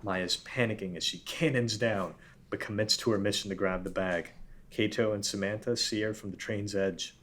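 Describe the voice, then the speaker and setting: Low-pitched man reading screenplay directions. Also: steady tone, moderate pace, a bit bright. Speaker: narrator. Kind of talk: scripted narration, screenplay stage directions. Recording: background room noise.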